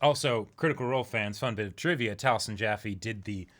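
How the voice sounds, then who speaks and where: Rambling, excited male podcast host. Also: fairly low pitch, a little fast. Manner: enthusiastic, rambling, many "like" fillers. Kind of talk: casual chat.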